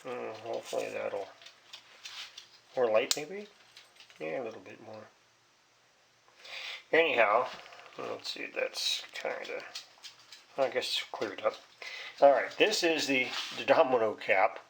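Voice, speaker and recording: A man talks slowly through a hands-on repair, rambling and hesitant as he thinks aloud. His delivery is folksy and casual, at an average male pitch. It is a homemade recording with some background noise and small clicks. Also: gravelly, fairly bright tone, rough recording. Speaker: hobbyist repairing a vintage radio.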